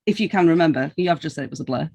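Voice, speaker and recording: A woman's voice: low voice, rapid-fire, gravelly, a little mellow, breathy edge. A woman interviewing a podcast guest in a casual, conversational style with an informal, teasing manner.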